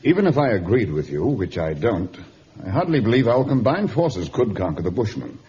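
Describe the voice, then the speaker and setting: An actor playing a principled, reserved army captain, speaking formally and firmly. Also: male voice, on the low side, big pitch swings, mellow. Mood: reasoned. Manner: formal, measured, firm. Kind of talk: 1950s radio drama acting. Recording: dull, noisy vintage radio recording.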